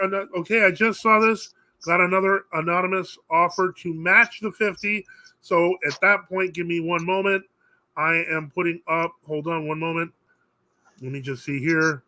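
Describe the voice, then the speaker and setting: A man's voice: high-pitched, bright, forceful tone, rough recording. A man hosting a live call-in stream who reads out donation updates and invites viewers to join. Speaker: host. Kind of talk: livestream Q&A chat.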